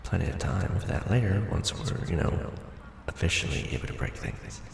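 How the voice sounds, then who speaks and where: A man's voice: low-pitched, sing-song, moderate pace, gravelly, a little hiss or hum, voice pushed up, full character voice. A man playing a nervous, joking young ex-janitor in a roleplay audio drama, hesitant and informal. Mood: wry, cautious.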